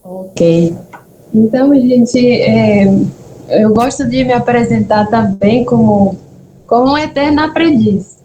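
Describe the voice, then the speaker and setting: Chatty brazilian lady w spanish mixed in her accent, mellow, echoey video call. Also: fairly high pitch, slow pace, dull audio. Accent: mix of Spanish and Brazilian Portuguese. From Bahia, Brazil and Peru. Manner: friendly, chatty, checks in with listeners. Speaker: guest speaker, nurse. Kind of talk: informal talk with storytelling. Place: echoey room, video meeting. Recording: echoey room, faint background noise.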